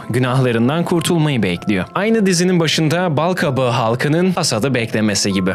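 A man delivering scripted explanatory narration as a video essayist, posing rhetorical questions. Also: medium pitch. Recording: background music under voice-over.

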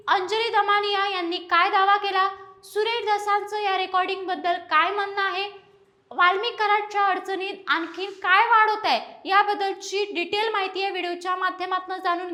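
A woman's voice: very high voice, bright, forceful tone. A woman presenting a scripted news explainer.

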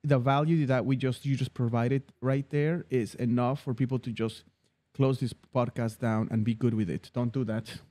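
A man in his thirties hosting a podcast interview in a studio, chatty and animated, acting out people when he tells stories. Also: mid-range voice, moderate pace. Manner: animated storyteller, acts out voices when telling stories. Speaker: podcast host. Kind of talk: casual interview chat. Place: bright studio room. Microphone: studio mic on boom arm, headphones.

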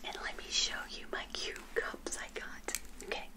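An older woman playing a friendly, naive witch in a gentle ASMR roleplay, whispering and softly repeating her phrases. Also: moderate pace, natural voice. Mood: delighted, gentle. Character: friendly, eager, naive lonely witch serving tea.

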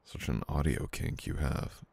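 Young male lead, very low gravelly tone, teasing and soft-spoken; scripted romance audio. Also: unhurried. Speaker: audio roleplay performer. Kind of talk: scripted boyfriend roleplay ASMR.

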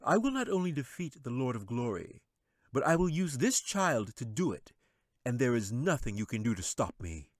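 A man voicing a sly, scheming male wizard who speaks in a slow, gloating, taunting way. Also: medium pitch, sing-song, dry recording, clean studio sound, voice pushed up. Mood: menacing.

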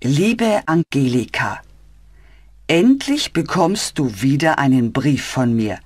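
A woman voicing a friendly German woman who chats with her flatmate in a scripted language-course dialogue. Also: low voice, dry recording, heavily acted. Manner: slow, clear and lively, with cheerful rises.

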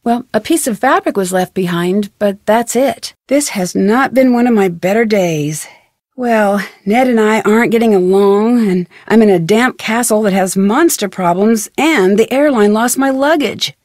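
A woman playing a curious, level-headed young detective in a video game, speaking casually and clearly, as if chatting on the phone. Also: medium pitch, normal speed, darkish tone, no echo, clean studio sound, natural voice. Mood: weary, candid. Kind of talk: video game voice acting, conversational phone dialogue.

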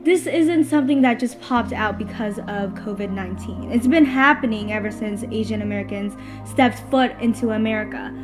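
A teenage high school student born in Vietnam, speaking thoughtfully in a documentary interview with hesitant pauses. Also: female voice. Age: teens. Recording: music bed underneath.

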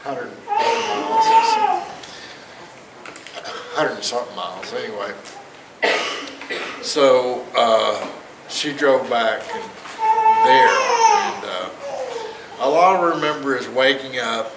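A man's voice: mid-range voice, huge pitch swings, slow pace, pauses often. A man in his fifties telling a personal testimony to a church congregation, rambling and chuckling at his own jokes. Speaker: church member giving testimony. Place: church sanctuary stage, indoors, large. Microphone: far camera, no mic seen. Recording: roomy echo from far off, noisy.

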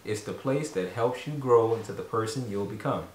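A man's voice: on the low side, normal speed, a little hiss or hum. A man in his thirties, a father casually reading a storybook aloud to his children at home. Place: carpeted room in a home, indoors. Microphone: distant camera microphone. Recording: roomy echo from a distant camera.

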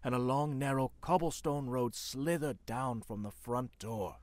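A man's voice: mid-range voice, unhurried, no echo, close to an everyday voice. A man playing a spooky narrator, telling a scripted horror tale with theatrical, ominous phrasing. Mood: ominous storytelling. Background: music.

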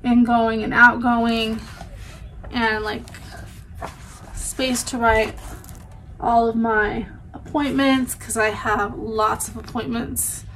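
Woman, 30s, head cold and stuffy nose, rambling home vlog chat, fairly high voice. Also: sharp tone, a little hiss or hum, rough recording. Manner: chatty, rambling, with frequent "um" and "like". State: stuffy and congested with a sore throat. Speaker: vlogger. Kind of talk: casual chat. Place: small home bedroom, indoors. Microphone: camera in front at close range.

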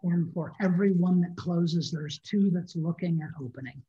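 A man's voice: a little high, normal speed, mellow. A man in his fifties speaking emphatically as an industry panelist on a video call. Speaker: restaurant industry consultant, panelist. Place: living room at home, indoors. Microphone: computer mic on video call. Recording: dull, echoey video-call audio.

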